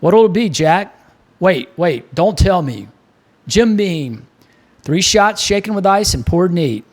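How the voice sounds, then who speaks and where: A man's voice: high voice, lively intonation, slow, pitched above the performer's own voice, heavily acted. A man voicing a breezy, bantering bartender, an old pal full of wisecracks, in an audiobook. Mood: jovial greeting. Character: ruddy Irish-American male bartender, bantering old pal.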